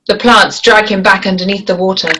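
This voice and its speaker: A woman's voice: medium pitch, steady tone, fairly quick, sharp tone, rough recording. A woman reading stage directions as scripted narration for a screenplay.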